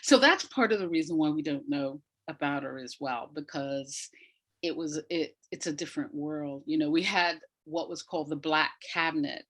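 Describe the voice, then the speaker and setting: A woman's voice: deep voice, normal speed, fairly bright tone. A woman speaking as an interview guest, explaining in an emphatic way and repeating phrases for effect. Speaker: interview guest, audio drama maker. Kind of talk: animated explanatory interview talk.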